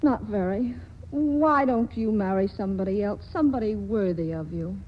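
A woman's voice: medium pitch, normal speed, close to an everyday voice. An actress playing a restless, wry and lovelorn young woman in a 1940s radio drama, with a theatrical, weary manner. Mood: miserable. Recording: dull, noisy 1940s radio broadcast recording.

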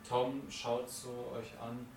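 A man's voice: mid-range voice, level tone, takes it slowly, darkish tone, faint music behind, natural voice. A man voicing a plain young male seasonal farmhand who talks in a hesitant, laid-back way. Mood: calm. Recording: roomy, distant mic, faint noise.

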